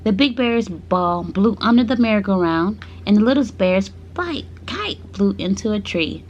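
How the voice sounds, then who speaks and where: A woman reading children's stories aloud to her baby, speaking gently and breaking off for playful asides. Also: mid-range voice, expressive, normal speed, muffled recording, slight background noise.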